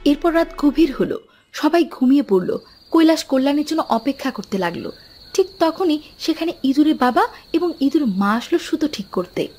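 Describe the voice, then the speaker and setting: A woman with a high voice reads as the narrator of a children's story. Her delivery is scripted storytelling, with faint music underneath. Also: animated, normal speed, a little mellow.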